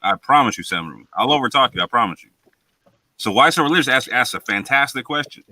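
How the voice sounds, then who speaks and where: A man debating forcefully on a live call, repeating himself to hold the floor. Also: mid-range voice, expressive, fast, no room sound. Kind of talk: heated debate.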